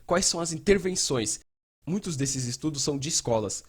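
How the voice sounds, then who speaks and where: A man's voice: a little high, moderate pace, husky. A Brazilian man dubbing a frank, casual psychotherapist who explains things in a conversational way and acts out bits of dialogue. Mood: explanatory. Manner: animated, acts out quoted dialogue, casual swearing. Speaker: fan dubber voicing a mental health educator. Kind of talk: dubbed conversational explainer talk.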